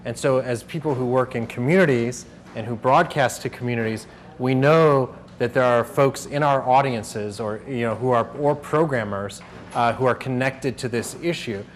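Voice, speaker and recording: A man hosting a workshop, explaining to a group in a halting, conversational way as he moderates. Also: mid-range voice. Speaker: workshop host and panel moderator. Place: room at a workshop. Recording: noisy room recording.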